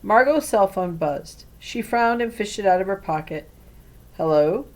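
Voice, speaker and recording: A woman reading a children's storybook aloud in an expressive way. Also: medium pitch, moderate pace, poor audio. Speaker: read-aloud reader.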